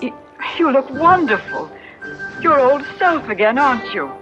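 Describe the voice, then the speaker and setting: A woman's voice: high voice, animated, unhurried. An actress playing an anxious, devoted woman in an old-time radio drama, earnest and solicitous. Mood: relieved, reassuring. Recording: muffled old radio broadcast with music.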